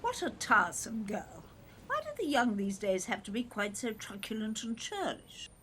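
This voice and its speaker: An older English mother holds forth in an audio drama. She is imperious, theatrical and very animated in pitch, talking down to whoever listens. Faint noisy ambience sits behind her. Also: moderate pace. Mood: indignant. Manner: haughty, theatrical, domineering. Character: imperious, talkative older mother, grandly aggrieved, gin-drinking. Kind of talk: audio play acting. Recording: clear background noise, outdoor ambience.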